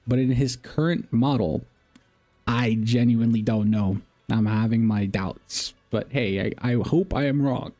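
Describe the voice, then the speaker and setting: A man's voice: mid-range voice, a little slow, mellow, soft background music. A man live-streaming a game, commenting casually and reading game text aloud.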